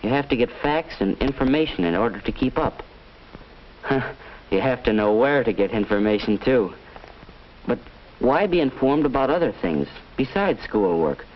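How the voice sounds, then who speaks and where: A young actor playing an earnest, eager high school student in a 1950s instructional film. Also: male voice, lively intonation, moderate pace, natural voice. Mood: musing. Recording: muffled old film soundtrack, faint noise.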